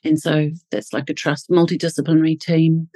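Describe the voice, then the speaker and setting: A woman's voice: low voice, flat delivery, a little fast, mellow, no room sound, studio quality. A middle-aged woman with a New Zealand accent, a physiotherapist explaining her work thoughtfully in an interview. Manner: thoughtful, self-correcting explanations. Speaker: pediatric physiotherapist guest. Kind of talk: interview answers.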